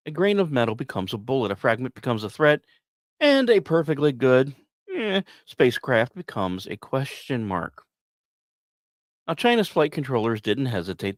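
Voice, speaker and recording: A man hosting a podcast, delivering scripted storytelling narration with dramatic emphasis and deliberate pauses. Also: medium pitch, no echo, studio quality. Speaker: podcast host.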